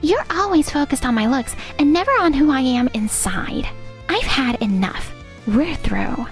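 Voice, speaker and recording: A woman's voice: high voice, big pitch swings, measured pace, faint music behind, heavily acted. A woman playing a blunt, fed-up young girlfriend in a manga dub, with an exasperated, dramatic manner. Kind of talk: animated manga dub dialogue.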